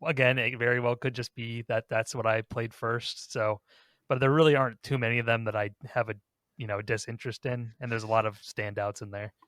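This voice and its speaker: A man's voice: medium pitch, level tone, brisk, a bit bright, no echo. A man hosting a casual panel discussion, talking in an informal, conversational manner. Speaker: host of a ranking podcast.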